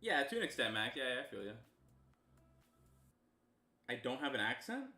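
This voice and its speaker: A young man from Canada, streaming live and chatting loosely with viewers, jumping between low mutters and loud outbursts. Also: sing-song, moderate pace, roomy sound, soft background music. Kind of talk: casual stream chat with viewers.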